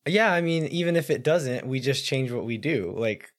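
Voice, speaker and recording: A man co-hosting a casual sports podcast, his voice raspy and hoarse from yelling at a game, talking through match analysis with fillers. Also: medium pitch, lively intonation, moderate pace. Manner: analytical, thinks aloud with fillers. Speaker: podcast co-host.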